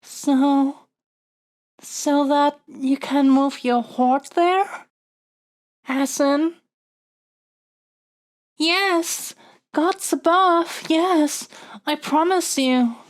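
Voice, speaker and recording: A woman's voice: sits high, extremely slow, long pauses. A woman playing a lovestruck young princess in a romantic fantasy audio roleplay, speaking in a flustered, stammering, earnest way. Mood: overjoyed, flustered. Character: lovestruck young princess smitten with her dragon kidnapper.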